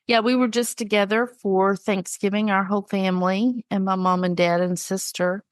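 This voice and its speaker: A woman's voice: medium pitch, steady tone, moderate pace, no echo. A woman co-hosting a podcast in casual chat, stuffed up from a cold, chatty and telling stories. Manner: chatty, storytelling. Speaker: podcast co-host.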